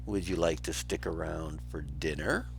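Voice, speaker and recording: A man voicing a mocking, menacing female hyena with a taunting, drawn-out delivery in a children's storybook reading. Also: very deep voice, vocal fry, a little hiss or hum. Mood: mocking menace. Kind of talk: children's storybook read aloud.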